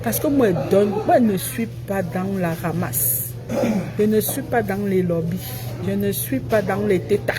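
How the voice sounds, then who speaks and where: A woman's voice: mid-range voice, a little slow, a crowd in the background, poor audio. A woman giving a self-assured, emphatic live-stream monologue, repeating phrases to drive them home.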